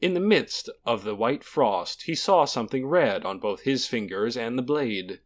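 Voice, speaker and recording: A man's voice: medium pitch, animated, a little slow. A man narrating a fantasy audiobook in a dramatic, scripted storytelling style.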